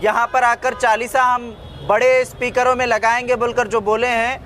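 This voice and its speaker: Male spokesman from India, very high voice, deliberate and condemning political address, light street noise. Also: little pitch movement, a bit bright. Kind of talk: impromptu political statement to press.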